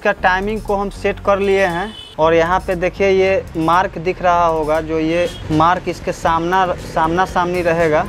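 A man working as a motorcycle mechanic, who talks the viewer through an engine repair step by step in a workshop. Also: a little high. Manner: explanatory, guides the viewer step by step. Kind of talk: workshop repair tutorial, narrating over close-up work.

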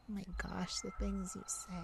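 A woman voicing a tender, wounded, lovesick vampire in an intimate ASMR roleplay, speaking haltingly and with emotion. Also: fairly low pitch, gravelly, background noise, full character voice. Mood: moved, incredulous. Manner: hesitant, emotional, halting with sighs and pauses.

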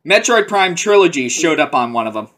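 A man's voice: a little high, sing-song, a little fast, a bit bright. A young man talking casually in a webcam update from a small room, a bit lightheaded and rambling with fillers and giggles. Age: young adult. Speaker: vlogger. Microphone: webcam at close range, headset round neck.

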